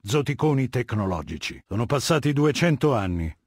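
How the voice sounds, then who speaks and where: An actor voicing a cold, haughty middle-aged warlord dictator in a video game, lecturing in a measured, commanding and disdainful way. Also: male voice, mid-range voice, sing-song, a little mellow, no room sound, studio quality. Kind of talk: video game character dialogue.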